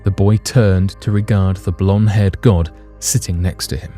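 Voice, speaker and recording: Deep, dark-voiced male storyteller. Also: steady tone, normal speed, faint music behind. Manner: literary, dramatic. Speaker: narrator. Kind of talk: scripted storytelling narration.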